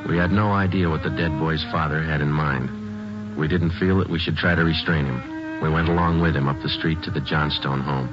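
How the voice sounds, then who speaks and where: A terse American cop in vintage radio drama. His voice is extremely low, clipped and deadpan, with loud music on a muffled recording. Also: brisk. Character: terse, matter-of-fact male police detective. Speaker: police detective and narrator. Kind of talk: 1940s radio crime drama narration.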